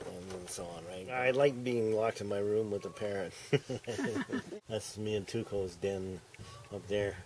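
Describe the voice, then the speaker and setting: A man's voice: fairly low pitch, slow pace, crowd noise. Rambling older man on a farm patio. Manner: rambling, trailing off, frequent "right?". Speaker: author. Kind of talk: relaxed interview chat. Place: outdoor farm patio in summer.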